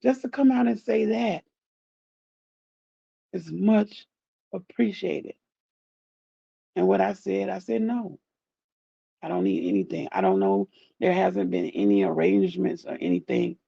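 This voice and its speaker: A grieving man with a groggy, deep voice, talking in a rambling, heartfelt way as he thanks his viewers in a casual chat. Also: a little slow, creaky, pauses often, breathy edge, no room sound, dull audio. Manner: soft-spoken, rambling, heartfelt. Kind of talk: casual direct-to-camera chat.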